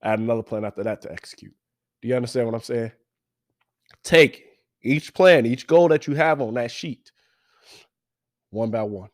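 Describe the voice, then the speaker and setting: A man's voice: medium pitch, normal speed, pauses often. A young man with a stuffy, congested voice hosts a motivational podcast, talking with lots of energy and repeating his phrases like a preacher. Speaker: podcast host.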